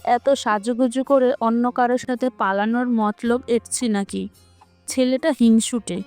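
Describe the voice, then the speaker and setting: A woman's voice: fairly high pitch, fairly even intonation, a little slow, darkish tone, soft background music, natural voice. A woman voicing a playful, chatty, bossy young woman who acts like a big sister in a romantic audio story. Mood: chatty, confiding.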